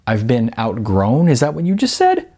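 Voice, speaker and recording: A man's voice: medium pitch, huge pitch swings, normal speed. A man voicing an indignant, incredulous young woman being dumped by her boyfriend, in an audiobook. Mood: incredulous, hurt. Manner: incredulous, pedantic, pressing with sharp questions. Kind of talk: audiobook character dialogue.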